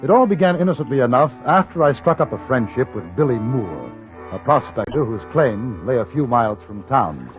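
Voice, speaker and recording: A man portrays a well-mannered English correspondent out West. He speaks in a medium pitch with literate, reflective phrasing and an English accent. The recording is a dull 1950s radio broadcast. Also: sing-song, soft background music, close to an everyday voice. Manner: polite, measured, literate. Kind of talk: 1950s radio drama narration.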